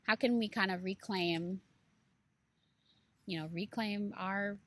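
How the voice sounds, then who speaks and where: Bright-voiced woman, earnest and rambling. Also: medium pitch, fairly even intonation, slow pace. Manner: earnest, rambling, heavy on fillers like "like" and "you know". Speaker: book club co-host. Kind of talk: casual podcast discussion.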